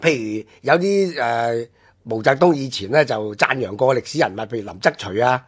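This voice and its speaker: A man's voice: mid-range voice, expressive, moderate pace, husky. A man with a Hong Kong Cantonese accent explaining things conversationally, as a commentator on a radio history programme.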